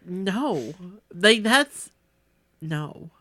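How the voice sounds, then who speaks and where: Playful lady cohost, bright voice, pitch jumps all over, casual banter. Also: big pitch swings, extremely slow, no room sound. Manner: playful, reactive interjections. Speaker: podcast co-host.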